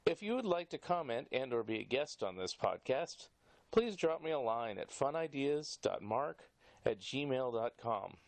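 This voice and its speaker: A man with a medium-pitched voice hosts a podcast in an informal, conversational way. He is given to small chuckles between his phrases. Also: very animated, measured pace. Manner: chuckles often. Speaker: podcast host. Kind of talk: casual interview with sign-off.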